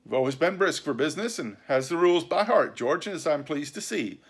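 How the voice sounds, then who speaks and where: A scornful, commanding pirate captain from a sea-adventure storybook. The voice rides high and swoops widely, full of sly sarcasm and blustering, salty sailor talk. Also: male voice, lively intonation, a little fast. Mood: sardonic, mocking. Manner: rough nautical slang, sarcastic, blustering speechifying.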